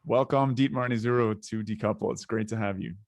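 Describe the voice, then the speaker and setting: A Canadian man hosting a podcast interview, talking in a relaxed way with hesitant fillers. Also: fairly low pitch, quick pace, dry recording, studio quality. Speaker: podcast host.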